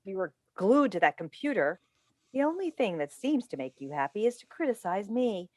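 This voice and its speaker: Woman playing exasperated mother, stage drama reading, emphatic pleading, swooping pitch. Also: very animated, normal speed, a little mellow. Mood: sarcastic, hurt. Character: worried, exasperated mother of a brilliant, troubled son. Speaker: stage actor in a play reading. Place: video call, remote staged reading. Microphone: video call microphone.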